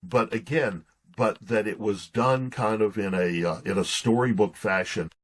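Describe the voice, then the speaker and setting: A man's voice: lowish voice, measured pace. A man in his sixties telling stories casually as an interview guest, in a folksy, earnest way with stop-and-start phrasing. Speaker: podcast guest, pastor and author.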